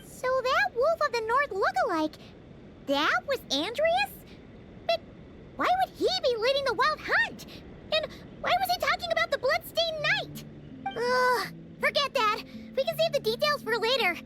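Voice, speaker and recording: A woman voicing a tiny, chirpy female companion creature in a video game, excitable and full of questions. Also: very high-pitched, a little slow, over music, heavily acted. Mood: curious. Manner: excitable and questioning, bouncing between thoughts.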